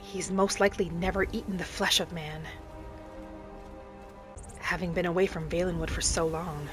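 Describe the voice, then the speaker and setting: A woman's voice: on the low side, fairly even intonation, moderate pace, natural voice. Woman playing a thoughtful wood-elf adventurer, music behind. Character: female Bosmer wood-elf adventurer, gentle, earnest, proud of her ways. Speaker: roleplay game player narrating in character. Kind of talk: in-character roleplay narration.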